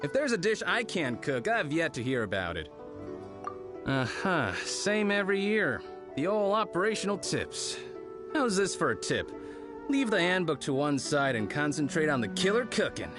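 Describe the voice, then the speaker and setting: A man's voice: fairly high pitch, very animated, moderate pace. A man voicing a brash, confident, impatient street-food cook in a video game. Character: cocky, brusque male street-food chef. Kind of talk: video game character dialogue. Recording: music behind the voice.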